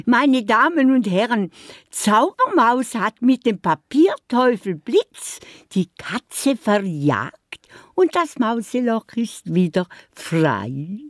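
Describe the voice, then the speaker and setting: A bossy little magic mouse with a Swiss German accent. The delivery is slow and theatrical, with big swings in pitch, as in a children's radio play. The sound is close and dry. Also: female voice, very animated, no room sound, heavily acted. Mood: bold, triumphant. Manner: theatrical, addresses listeners like a showman.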